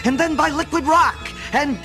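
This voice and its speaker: An actor playing an earnest, naive younger-brother plumber in a radio play. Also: male voice, extremely high pitch, moderate pace, over music. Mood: puzzled, speculating.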